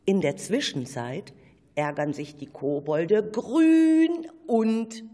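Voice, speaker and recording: A woman with a husky voice narrating a children's radio play like a storybook. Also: medium pitch, expressive, slow pace, dark tone. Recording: reverberant hall-like sound.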